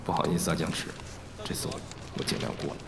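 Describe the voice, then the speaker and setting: A man's voice: sits low, lively intonation, fast talker, creaky, background noise. A man playing a teasing, cocky, easygoing film actor in an audio drama, with a playful drawl. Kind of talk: Chinese audio drama acting.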